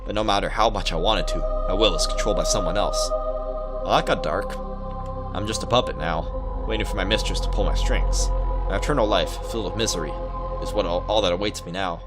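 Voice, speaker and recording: A man's voice: deep voice, a little fast. A man hosting a gaming video, reading a visual novel aloud with casual commentary. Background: game music.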